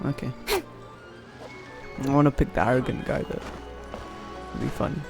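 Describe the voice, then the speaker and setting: A man's voice: medium pitch, wildly swooping pitch, measured pace, gravelly. A man giving offhand, casual gameplay commentary, muttering to himself over game music. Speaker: game streamer.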